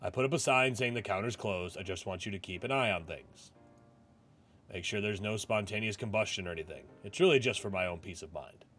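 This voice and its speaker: A man's voice: fairly low pitch, brisk, fairly bright tone. A man voicing a friendly, courteous and chatty male shop boss in a visual novel. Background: game music.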